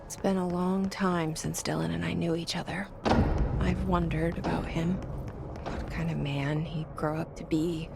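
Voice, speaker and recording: A woman's voice: deep voice, flat delivery, a little slow, creaky, very noisy. An actress playing a guarded young woman in a video game, thinking aloud in a dry, understated way. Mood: brooding. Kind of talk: video game inner monologue.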